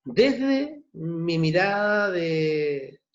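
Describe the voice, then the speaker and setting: Chilean guy in his 60s, fairly high voice, talks slow and halting, repeats himself, muffled video call sound. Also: big pitch swings. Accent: Chilean Spanish. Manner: halting, repeats words, long pauses. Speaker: novelist at his book launch. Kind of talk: reflective answers in an online book launch.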